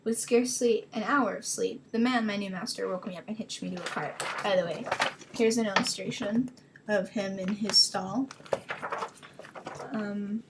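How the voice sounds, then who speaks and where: A woman's voice: medium pitch, steady tone, moderate pace, heavy background noise. A woman giving a dramatic reading of her own childhood story, rambling into self-mocking casual asides.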